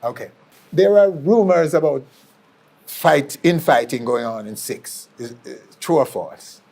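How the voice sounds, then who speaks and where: A man's voice: a little high, a little slow, a little mellow. A Jamaican man hosting an interview show, putting probing questions and restating what he is told. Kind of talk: onstage-style television interview.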